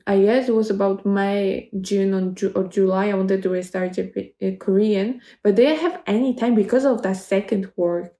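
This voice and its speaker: Polish-accented woman in her twenties, gravelly. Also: mid-range voice, dark tone, echoey room. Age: 20s. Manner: rambling, halting, many restarts. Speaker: vlogger. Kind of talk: casual chat to camera.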